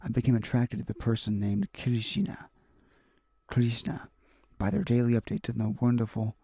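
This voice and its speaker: A man hosting a gaming video, reading a visual novel aloud in a halting way, stumbling and repeating phrases. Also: medium pitch, steady tone, vocal fry, dark tone, no echo, studio quality.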